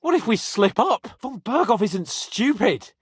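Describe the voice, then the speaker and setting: A brash young nobleman with a British accent. His delivery is haughty yet comradely, swinging widely in pitch with theatrical, teasing flair. He sits fairly high for a man, in a dry recording with no room sound. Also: big pitch swings, unhurried, breathy edge, no echo, exaggerated voice. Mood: agitated. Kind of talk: medieval video game voice acting.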